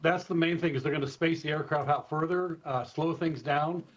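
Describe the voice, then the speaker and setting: A man with an American accent explaining things informally and in measured fashion as an expert guest in a live interview, over a remote feed. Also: on the high side. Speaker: expert guest, former airport communications director. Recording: dull, slightly lo-fi remote feed.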